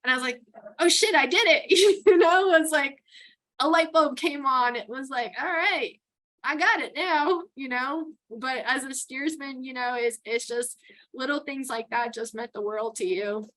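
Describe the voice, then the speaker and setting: A woman's voice: high voice, measured pace, a bit bright. A middle-aged woman from New York with a Texan accent, chatting casually as an interview guest and laughing often. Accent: Texan with some New York. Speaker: guest, towboat port captain.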